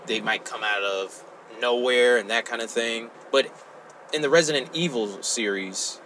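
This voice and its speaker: A man's voice: mid-range voice, moderate pace, sharp tone, slight background noise. A man co-hosting a podcast, chatting casually and exclaiming often. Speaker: podcast co-host. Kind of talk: casual chat.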